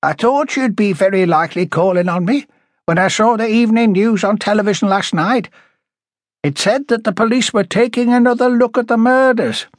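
A man voicing a blunt, prickly older working-class woman with a high, rasping voice, emphatic and chatty, as audiobook dialogue. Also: animated, moderate pace, dry recording, studio quality. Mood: forthright.

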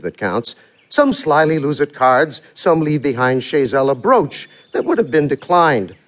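A man's voice: a little high, a bit bright, heavily acted. A man playing a worldly, wily, talkative manservant in a theatrical verse comedy, with a sly, flourishing delivery in rhyme. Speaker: actor.

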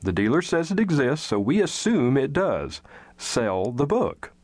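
A man's voice: mid-range voice, very animated, unhurried, darkish tone, no echo. An actor playing a curt, dismissive male shop boss in an audio drama, authoritative and coolly self-serving.

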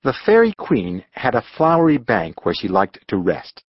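A man narrating a storybook audiobook in a measured, expressive storytelling manner. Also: on the low side, breathy edge, no room sound, dull audio.

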